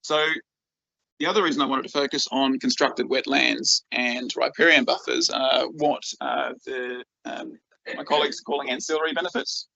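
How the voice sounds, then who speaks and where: A man's voice: medium pitch, steady tone, normal speed, vocal fry, bright, forceful tone, dry recording, muffled recording. A man with an Australian accent giving a conference talk as a researcher, enthusiastic and full of ums and repeated words. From Australia, rural northeast Victoria.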